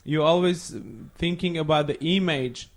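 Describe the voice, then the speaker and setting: A man's voice: fairly high pitch, fairly even intonation, unhurried. A young man from Iran whose first language is Farsi, a podcast guest talking casually and searching for his words in English. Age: young adult. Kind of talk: casual chat.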